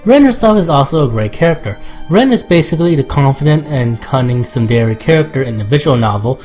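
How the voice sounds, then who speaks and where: A man's voice: mid-range voice, expressive, brisk, a little mellow. Man narrating a game review over music.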